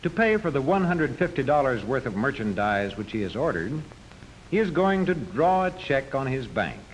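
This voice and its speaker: A male narrator delivers scripted 1940s educational film commentary. His medium-pitched voice rises and falls in an animated way as he explains things didactically. Light noise from the old soundtrack runs beneath. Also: normal speed, poor audio.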